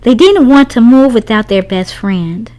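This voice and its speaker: A woman narrates a children's storybook in a fairly high voice. Her pitch moves up and down in a very lively way, and her delivery is expressive, shaped for young listeners. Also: measured pace, heavy background noise. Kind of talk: read-aloud story narration.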